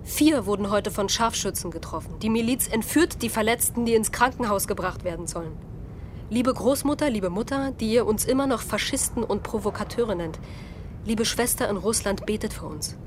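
Woman, mid-pitched voice, reads diary posts, heartfelt, faint rumble behind. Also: lively intonation, moderate pace. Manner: emotional, heartfelt reading. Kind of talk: reading dated diary entries.

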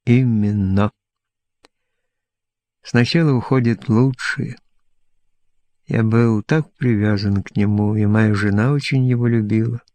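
An aging, lonely showman played by a male audiobook reader rambles with boastful self-assurance. He speaks slowly in short, insistent phrases, and the sound is close and dry. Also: on the low side, fairly even intonation, darkish tone, no room sound. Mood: wistful bravado. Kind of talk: audiobook character dialogue.

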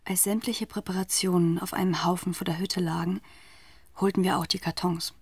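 An actress playing a grave, haunted narrator in a literary radio drama, telling the story in a measured, deliberate way. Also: female voice, lowish voice, flat delivery, husky, no room sound. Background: music.

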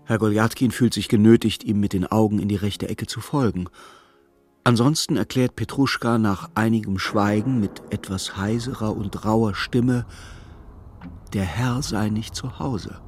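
A man narrating a literary radio drama as a measured, detached storyteller with dry irony. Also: on the low side, steady tone, a little mellow.

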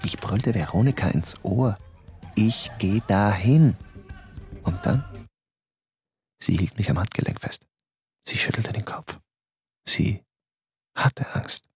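A man narrating a first-person memoir in a measured, sober way. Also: lowish voice, animated, darkish tone, slightly rough.

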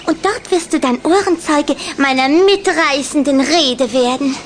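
An eager little vampire girl in a children's radio play. Her voice is high and chirpy, and she coaxes with cheeky confidence. The recording has clear background noise. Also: a little slow. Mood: eager, persuasive. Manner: chirpy, insistent, self-assured. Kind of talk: German children's radio drama acting.

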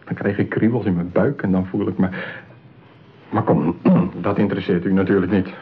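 A man's voice: sits low, steady tone, normal speed, slightly rough. An actor playing a shy, lonely male customer in a radio play, speaking in a hesitant, bashful way. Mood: shyly excited. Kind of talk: radio drama acting. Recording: tinny, narrow-band old radio play.

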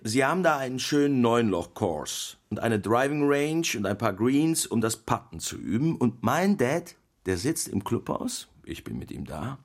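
Animated, husky storytelling husband. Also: male voice, medium pitch, normal speed. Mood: relishing, confiding. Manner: theatrical anecdote telling with quoted dialogue. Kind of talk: radio play storytelling.

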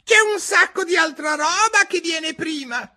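Give me a shrill fussy rabbit herald, very high and bright. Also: male voice, a little slow, heavily acted. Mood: hurried. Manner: squeaky, shouting proclamations.

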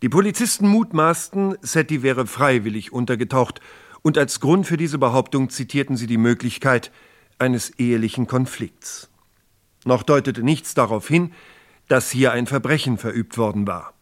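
Man as sober, mid-pitched crime case narrator. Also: lightly acted. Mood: sober, factual. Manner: measured, matter-of-fact. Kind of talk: 1960s documentary radio drama narration.

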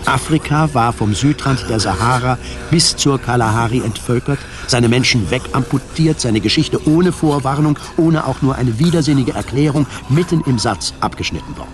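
A man narrating a scripted radio drama in a measured, literary storytelling manner. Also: mid-range voice, noisy background.

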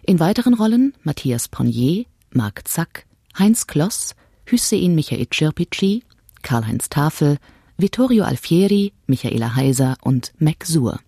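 A female announcer reads credits in a low, husky voice. Her delivery is slow and measured, recorded very dry. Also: big pitch swings, dark tone, no echo. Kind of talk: scripted radio credits announcement.

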